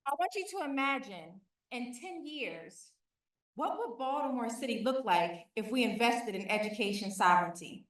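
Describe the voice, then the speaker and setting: A woman, a nonprofit founder, giving a prepared pitch speech in a deliberate, structured way, laying out her points as lists. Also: animated. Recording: echoey hall, distant mic.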